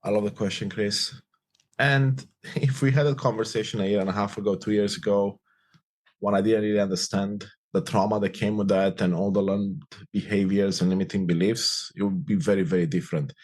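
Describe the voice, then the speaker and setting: A man's voice: fairly low pitch, steady tone, fairly quick, a little mellow. A man in his forties, from Romania with Romanian as his first language, talking upbeat and reflective as an interview guest, often repeating phrases. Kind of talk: casual interview conversation.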